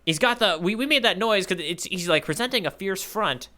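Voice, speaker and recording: A man's voice: sits high, expressive, a little fast, bright, forceful tone. A man co-hosting a podcast, bantering playfully and joking around in casual chat. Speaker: podcast co-host.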